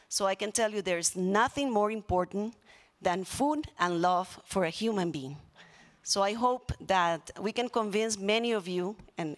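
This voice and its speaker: A woman's voice: mid-range voice, a bit bright. Female social entrepreneur, Costa Rican accent, Spanish native, earnest stage speech on handheld mic. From Costa Rica. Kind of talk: public speech. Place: conference stage in an auditorium. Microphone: handheld microphone.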